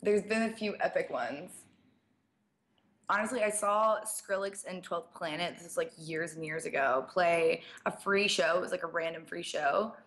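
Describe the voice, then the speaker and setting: A young woman with a slight rasp, answering fan questions in a loose, chatty way. She fills her talk with "like" and small laughs, and sits a touch lower in pitch than most women. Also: moderate pace, fairly bright tone. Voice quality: slightly raspy. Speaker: DJ and producer. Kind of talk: casual live-stream Q&A, reading viewer questions.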